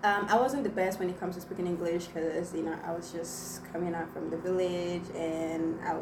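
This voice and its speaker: A woman's voice: on the low side, fairly even intonation, normal speed. A young woman whose first language is Igbo, chatting to viewers as she tells a personal story with many fillers. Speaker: vlogger. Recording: echoey room, mic at a distance, noisy.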